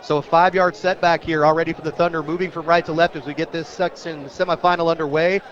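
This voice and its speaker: A man's voice: fairly high pitch, steady tone, fast, muffled recording. An American man doing live play-by-play radio commentary of a football game from a stadium booth. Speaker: play-by-play announcer. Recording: stadium crowd noise behind voice. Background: crowd at an outdoor stadium.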